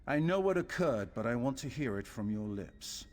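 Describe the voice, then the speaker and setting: An actor playing a solemn, commanding leader of an assassin brotherhood in a fantasy video game, with grave, ritual delivery. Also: male voice, medium pitch, expressive, normal speed, close to an everyday voice. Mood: grave approval.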